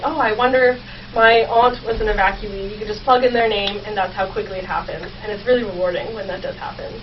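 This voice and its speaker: A young woman researcher explaining her work as an interview guest, speaking thoughtfully and haltingly with short pauses. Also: mid-range voice. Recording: dull sound, faint noise, distant mic.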